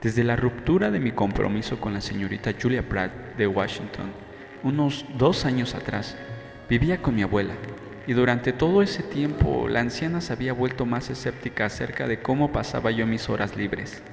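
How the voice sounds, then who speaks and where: A man reading a novel as an audiobook narrator, in measured, dramatic first-person storytelling. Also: medium pitch, creaky. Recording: roomy long reverb, faint music. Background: faint music.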